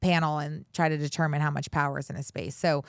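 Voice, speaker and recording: A woman's voice: low-pitched, level tone, fast talker, clear, resonant tone, no echo, studio quality. A woman in her forties, a guest in an interview, talking casually with lots of fillers and restarts. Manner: chatty, many fillers and restarts. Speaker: guest, commercial real estate director.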